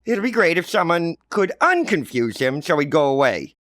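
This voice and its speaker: A man's voice: on the high side, big pitch swings, normal speed, a bit bright, no room sound, voice pushed up. A man voicing a gloomy young boy in a read-aloud storybook, dry and sarcastic. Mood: wry.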